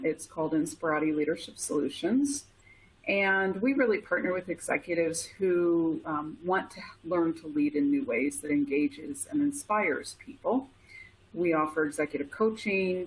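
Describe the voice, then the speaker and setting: A woman leadership consultant introducing herself on a panel, in a friendly, measured way. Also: lowish voice, breathy edge, poor audio.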